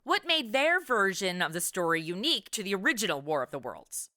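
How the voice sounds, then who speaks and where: Animated, bright-voiced woman podcast host. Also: mid-range voice, a little fast, dry recording, clean studio sound. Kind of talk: lively scripted conversational hosting.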